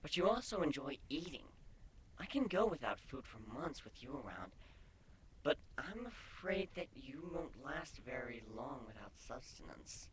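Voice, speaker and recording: A man voicing a playful, affectionate female changeling in a fantasy story, with a soft, breathy, coy delivery. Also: medium pitch, sing-song, measured pace, slight background noise, rough recording. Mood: sweet, encouraging.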